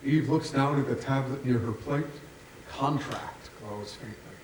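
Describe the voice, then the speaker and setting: A man's voice: medium pitch, a little slow, distant mic, slight background noise. A man reading stage directions as scripted narration at a staged reading. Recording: very echoey theatre from afar.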